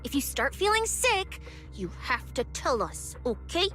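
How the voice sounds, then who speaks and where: A woman voicing an energetic, caring young girl companion in a video game. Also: high voice, measured pace, faint music behind, heavily acted. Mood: worried, caring.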